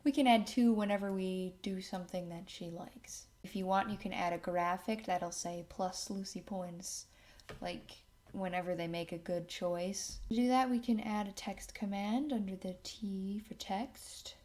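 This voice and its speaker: Slow-paced woman giving a software tutorial. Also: medium pitch, fairly even intonation. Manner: thinks aloud while working. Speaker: tutorial host. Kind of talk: casual screen-recorded software tutorial. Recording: clear background noise, keyboard and mouse clicks.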